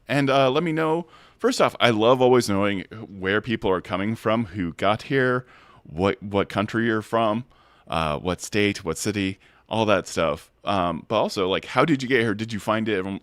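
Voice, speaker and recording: A man hosting a casual recap livestream, hoarse from a head cold, his voice gravelly and bassy, chatty with many fillers and restarts. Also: fairly bright tone, dry recording. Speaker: host of a recap stream.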